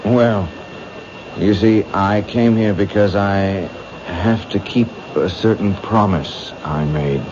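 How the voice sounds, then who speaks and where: A slow, menacing killer. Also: male voice, fairly low pitch. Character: sinister, slow-speaking young male killer. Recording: dull old radio broadcast, noisy.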